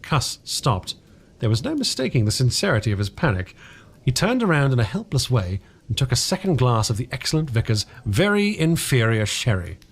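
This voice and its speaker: A man reading fiction aloud as a dramatic audiobook narrator, deliberate and expressive, with the occasional stumble of a single take. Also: medium pitch, breathy edge.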